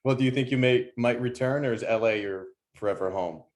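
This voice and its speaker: A man's voice: medium pitch, fairly quick. A man hosting an interview, asking long, rambling questions with many restarts. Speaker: interview host.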